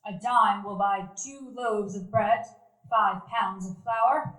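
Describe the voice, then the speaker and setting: A woman's voice: mid-range voice, takes it slowly, a bit bright. A woman playing a stern old spinster. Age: older. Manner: scolding, stern. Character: elderly stern spinster sister, disapproving. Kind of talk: live radio play acting. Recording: echoey live theater hall.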